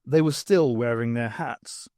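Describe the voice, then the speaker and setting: A man narrates a science fiction audiobook in a mid-pitched voice. He reads scripted, dramatic prose as a storyteller. The recording is dry, with very little room sound. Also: measured pace, darkish tone, dry recording. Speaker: audiobook reader.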